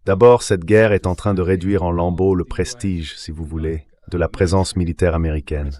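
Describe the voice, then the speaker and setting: Really deep male voice, measured dubbed interview answers, explains stuff like an analyst. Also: fairly even intonation, mellow. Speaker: interview guest, political analyst. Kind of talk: dubbed translation of spoken political analysis.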